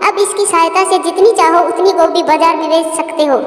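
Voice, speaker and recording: A woman's voice: very high voice, fairly even intonation, fairly quick, roomy sound, long reverb tail, far from the mic, soft background music. A woman voicing a benevolent magical fairy who grants blessings and gives warnings in a cartoon. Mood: kind, solemn.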